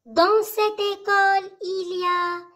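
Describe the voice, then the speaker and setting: Very high child-like voice, very slow recitation. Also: flat delivery, bright, forceful tone. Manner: measured, short phrases with pauses. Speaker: reciter of a French school poem. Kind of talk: slow poem recitation for memorization.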